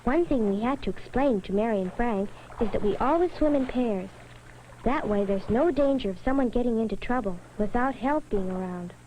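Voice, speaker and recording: A woman's voice: a little high, normal speed, dark tone, a little hiss or hum, lightly acted, rough recording. A woman playing a sensible schoolgirl who narrates a summer safety story in an educational film. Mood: earnest, sensible. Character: sensible schoolgirl recounting a summer lake trip.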